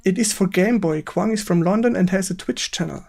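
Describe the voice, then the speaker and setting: High-pitched German man hosting, halting English. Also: vocal fry, a little mellow. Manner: halting, searching for English words. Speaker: stream host interviewing a guest. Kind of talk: casual livestream interview.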